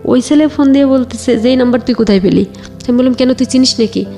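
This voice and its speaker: A Bangladeshi woman telling her own life story conversationally, a little haltingly, quoting remembered exchanges. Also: high-pitched, warm tone, faint music behind. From Bagerhat area, Bangladesh.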